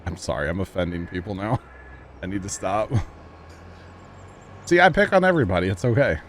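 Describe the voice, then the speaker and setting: Man, mid-thirties, from Missouri, casual gaming streamer, teasing and joking, lots of fillers, lowish voice. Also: very animated, fairly quick, slight background noise. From Missouri, USA. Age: 30s. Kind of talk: casual livestream chat while gaming.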